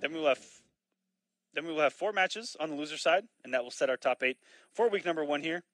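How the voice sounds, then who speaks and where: A man doing live play-by-play commentary on a fighting game, excitable and bursting loud on big plays, his voice slightly raspy from long casting. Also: fairly high pitch, quick pace, no echo, studio quality. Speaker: esports commentator.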